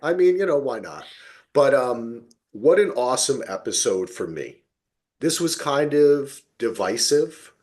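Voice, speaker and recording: Male podcast host, informal and enthusiastic, with very lively, swinging intonation. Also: mid-range voice, measured pace. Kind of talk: casual podcast chat.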